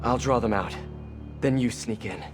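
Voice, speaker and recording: An actor playing a young, quippy and earnest costumed superhero in a video game, with a wry, self-talking manner. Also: male voice, medium pitch, moderate pace, over music, natural voice. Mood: focused, earnest. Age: young adult.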